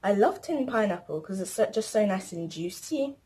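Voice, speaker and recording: A woman's voice: mid-range voice, lively intonation, moderate pace, warm tone, husky. Teen girl rambling thru facts about herself, chatty vlog voice. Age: teens. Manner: chatty, rambling, lists things. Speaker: vlogger. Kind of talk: casual chat to camera.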